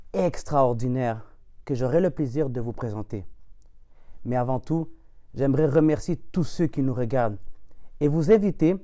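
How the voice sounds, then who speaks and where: Mellow-voiced male gospel show host. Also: on the high side. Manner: formal, welcoming. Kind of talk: scripted show introduction and interview.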